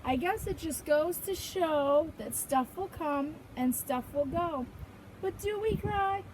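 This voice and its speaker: High-voiced woman reading slowly to young children. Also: background noise. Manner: sing-song, expressive, simple phrases for small children. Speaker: preschool teacher reading to children. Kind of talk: children's picture book read-aloud with counting questions.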